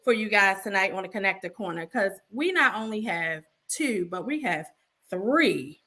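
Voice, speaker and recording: A woman hosting a live online interview show, lively and upbeat, talking straight to her viewers. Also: medium pitch, a little slow. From Baltimore, USA. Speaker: show host.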